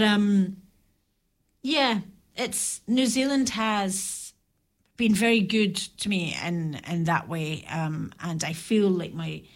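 Middle-aged woman, radio guest: low, gravelly voice, thoughtful and halting. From Ireland or Scotland. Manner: reflective, halting with restarts. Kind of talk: casual radio chat. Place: radio studio.

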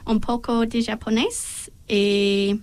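A young woman from New Zealand, a native English speaker, answering interview questions hesitantly, often pausing to search for words and laughing lightly. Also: on the high side, flat delivery. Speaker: guest.

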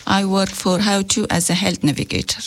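A woman from Afghanistan speaks as a guest on a community radio show. She answers earnestly and a little haltingly. Her voice is at an ordinary pitch. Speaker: health navigator guest.

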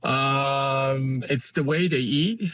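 A man from Malaysia, a guest in a conversational interview, speaking haltingly with many fillers and restarts. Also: medium pitch, fairly even intonation, fairly bright tone, dry recording.

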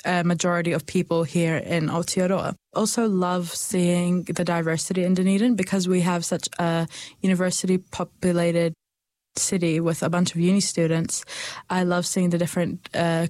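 A woman's voice: on the low side, a little mellow, no room sound. Earnest young New woman. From New Zealand. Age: young adult. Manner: earnest, thoughtful, with pauses and small restarts. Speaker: speaker sharing heritage. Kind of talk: personal reflection.

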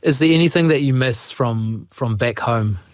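A man interviewing a guest with measured, encouraging questions. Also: mid-range voice, dry recording, clean studio sound.

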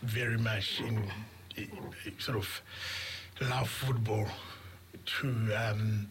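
Earnest man, halting speech. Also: fairly low pitch, steady tone, a bit bright, a little hiss or hum. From Zimbabwe. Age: middle-aged. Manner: earnest, halting, repeats words while thinking. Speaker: radio guest. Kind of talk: radio interview.

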